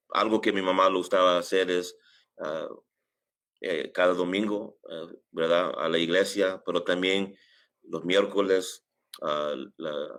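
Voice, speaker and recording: A middle-aged man from New York telling his story as an interview guest, halting often and dropping filler phrases in. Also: low-pitched, a bit bright. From United States, New York. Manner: halting, sprinkles English filler phrases into Spanish. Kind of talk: casual personal storytelling in an interview.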